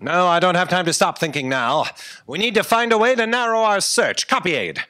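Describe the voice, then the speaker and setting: A man's voice: sits high, lively intonation, fairly quick, bright, forceful tone. A man playing a brash, intrepid space detective hero in a staged comedy audio drama, speaking theatrically and emphatically. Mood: urgent, determined. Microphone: stand microphone. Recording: live theatre stage with long hall reverb.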